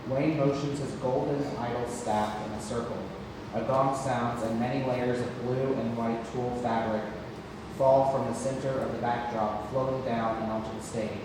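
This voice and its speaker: A man's voice: mid-range voice, fairly even intonation, normal speed. A man reading out scripted stage directions as the narrator of a play read-through. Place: theatre room, recorded from far off. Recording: distant echoey hall, clear background noise.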